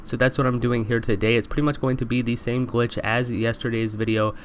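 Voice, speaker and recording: A young man with a stuffy, congested voice rattles through a gaming voice-over at a rapid clip, calling his viewers "you guys" again and again. His pitch sits in the middle, and there is a faint hiss of noise behind him. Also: little pitch movement. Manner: repetitive, addresses viewers constantly as "you guys". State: congested from allergies. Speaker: gaming YouTuber. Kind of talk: fast voice-over over gameplay.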